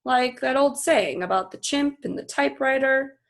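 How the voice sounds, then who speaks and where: A woman reading a children's novel aloud as a reluctant, doubtful father, measured and weary. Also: sits high, voice pushed up.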